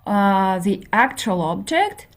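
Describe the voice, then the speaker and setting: Slow, hesitant woman lecturing. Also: mid-range voice, expressive. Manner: hesitant, many fillers, checks in with okay. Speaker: linguistics lecturer. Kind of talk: introductory university lecture.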